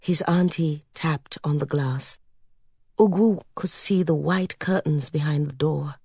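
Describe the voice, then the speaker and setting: A woman reading literary fiction as an audiobook narrator, measured and expressive in her storytelling. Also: low voice, husky, no echo, studio quality.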